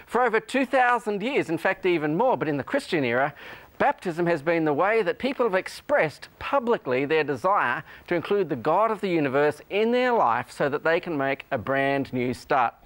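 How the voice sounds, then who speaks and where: A man hosts a religious talk show from a studio. His voice sits a bit high, and he asks earnest, leading questions with emphasis. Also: very animated, normal speed, fairly bright tone.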